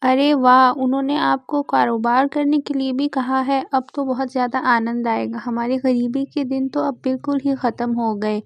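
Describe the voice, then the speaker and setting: A woman's voice: high voice, fairly even intonation, moderate pace, vocal fry, few pauses, no room sound. A woman voicing a farmer's honest wife in a cartoon story, firm and principled.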